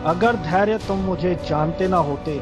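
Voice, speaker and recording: A man voices an overbearing older brother in a radio play, shouting scornful threats. His voice sits high, and music plays clearly behind him. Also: moderate pace, lightly acted. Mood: threatening. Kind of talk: amateur radio drama acting.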